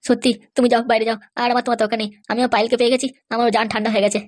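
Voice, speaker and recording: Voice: mid-range voice, little pitch movement, rapid-fire, close to an everyday voice. An actor voicing an eager young man in love, gushing and placating his sweetheart in a comedy cartoon. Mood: adoring.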